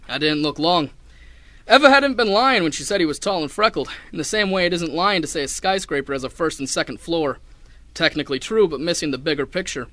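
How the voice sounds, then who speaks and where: A young man playing a hard-boiled, wry detective who narrates a 1930s noir radio drama in a deadpan way. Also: fairly high pitch, dry recording, close to an everyday voice. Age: young adult. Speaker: narrator and lead actor.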